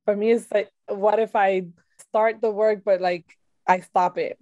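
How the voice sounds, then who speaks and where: A woman with a Dominican accent, a podcast guest who tells her story in a lively, giggly way, full of fillers. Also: medium pitch, a little slow, no room sound. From Dominican Republic. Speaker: guest, boudoir photographer. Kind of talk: conversational interview.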